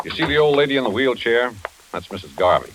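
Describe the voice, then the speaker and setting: An actor playing a chummy, nosy, garrulous retired detective in an old-time radio thriller. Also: male voice, medium pitch, sing-song, fast talker. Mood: gossipy, genial. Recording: hissy old radio recording.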